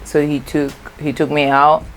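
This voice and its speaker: Very deep-voiced Nigerian woman chatting. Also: level tone, moderate pace, a little hiss or hum, low-quality recording. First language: Igbo. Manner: chatty, rambling, self-correcting. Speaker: vlogger. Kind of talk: casual storytime chat. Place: home, natural light.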